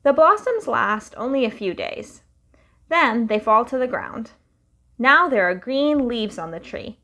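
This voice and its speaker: A young woman reading a picture book aloud to children in a slow, sing-song storytelling way. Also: on the high side, sing-song, sharp tone.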